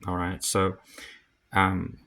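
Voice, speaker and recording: A man giving a casual hands-on demonstration of audio gear, thinking aloud in short, halting phrases. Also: sits low, completely flat delivery, creaky, sharp tone.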